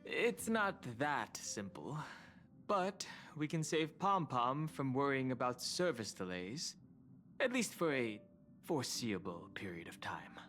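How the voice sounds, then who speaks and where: A man voicing a calm, reserved and serious young crew member in a game, restrained and matter-of-fact. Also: mid-range voice, measured pace, faint music behind. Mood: measured. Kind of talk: anime-style game voice acting.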